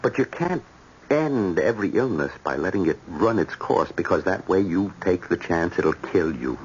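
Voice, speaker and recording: An actor playing a blunt, worldly brother-in-law in an old-time radio drama, earnest, emphatic and pressing. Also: male voice, deep voice, lively intonation, moderate pace. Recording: dull, slightly noisy old radio recording.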